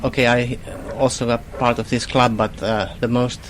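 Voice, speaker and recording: A Swedish-speaking man from Finland, a guest in a radio interview, talking haltingly with non-native phrasing. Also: mid-range voice, flat delivery, slight background noise. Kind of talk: casual interview.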